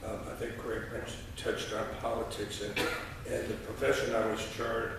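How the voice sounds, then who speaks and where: Man, sixties, folksy church lay reader, mid-pitched, halting delivery, distant in a very reverberant sanctuary. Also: level tone, vocal fry. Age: 60s. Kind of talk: informal church address and scripture reading. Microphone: distant camera microphone. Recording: echoey church, distant mic, noisy.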